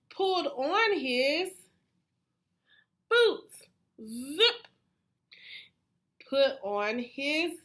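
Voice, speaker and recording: Very lively, high-voiced woman reading slowly. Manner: animated, pausing to ask young listeners questions. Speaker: read-aloud storyteller. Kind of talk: children's picture book read-aloud.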